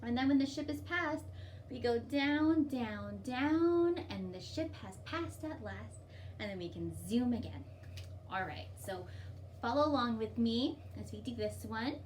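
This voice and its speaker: Woman children's library presenter, high voice, slow child-directed delivery, picked up from a little distance. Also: expressive, darkish tone. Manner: simple, repetitive, child-directed explaining. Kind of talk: storybook read-aloud and singalong instruction for young children. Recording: distant mic, light background noise.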